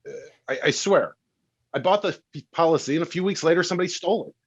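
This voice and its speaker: A man's voice: on the high side, quick pace, muffled recording, close to an everyday voice. An actor playing an evasive, defensive wealthy man at a screenplay table read, hesitant and stammering.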